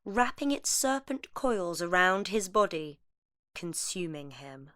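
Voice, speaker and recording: A woman reading scripted narration in a measured storytelling manner. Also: fairly low pitch, big pitch swings, bright, forceful tone. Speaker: narrator of a monster segment.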